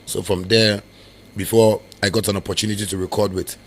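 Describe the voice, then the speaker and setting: Man, Ghanaian accent, husky, low-pitched, rambling interview storytelling, Twi and Pidgin mixed in. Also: flat delivery, fairly quick. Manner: code-switches into Twi and Pidgin; rambling, filler-heavy storytelling. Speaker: musician guest.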